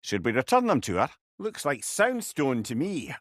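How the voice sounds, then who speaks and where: An actor voicing a clever, chatty old companion in a video game, with a Scottish accent and a wry, eloquent way of talking. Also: male voice, medium pitch, huge pitch swings, normal speed, no echo, clean studio sound. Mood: thoughtful, explaining. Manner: wordy, eloquent, wry storyteller. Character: clever, chatty, sardonic old male severed head companion. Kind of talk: video game character dialogue.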